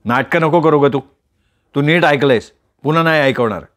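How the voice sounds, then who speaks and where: A man voicing a shy, earnest young man in love, talking in a hesitant, low-key way in a read-aloud story. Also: normal speed, a bit bright, close to an everyday voice. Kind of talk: storytelling with voiced characters.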